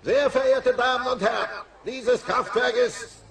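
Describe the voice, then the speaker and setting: A man's voice: very high-pitched, a little slow, crowd noise. An actor playing a dim, blustering, big-hearted middle-aged family man in a cartoon audio play, naive and declamatory. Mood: indignant, declaiming.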